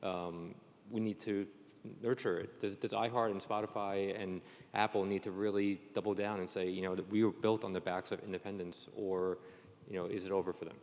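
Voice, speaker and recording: A man's voice: low voice, flat delivery, moderate pace, darkish tone. A man moderating a panel, putting rambling questions that he restarts and rephrases as he goes. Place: indoor conference panel hall. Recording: reverberant hall.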